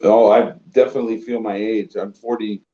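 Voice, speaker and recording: A man's voice: fairly low pitch, little pitch movement, fairly quick, dark tone, highs cut off. A man in his late forties speaking as a guest on a livestream in a plainspoken, matter-of-fact way. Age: 40s. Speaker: guest on the stream. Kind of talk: casual livestream chat.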